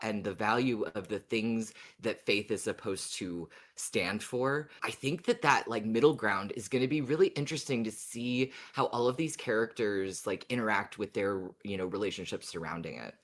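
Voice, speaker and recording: A podcast co-host discussing casually in a thoughtful, measured way, often pausing mid-sentence. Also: mid-range voice.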